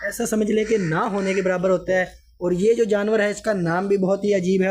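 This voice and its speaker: A man's voice: sits high, a little mellow. A man from Pakistan, vlogging casually to his viewers with a sore throat from a cold. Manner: chatty, addresses viewers often. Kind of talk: casual vlog narration to viewers. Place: hot store room in a village house.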